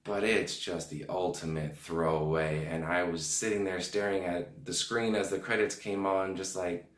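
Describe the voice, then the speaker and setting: Hoarse low-voiced man reviewing a film. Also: steady tone, moderate pace. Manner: chatty, direct address to viewers. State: hoarse, under the weather. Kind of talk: casual film review to camera. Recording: echoey room.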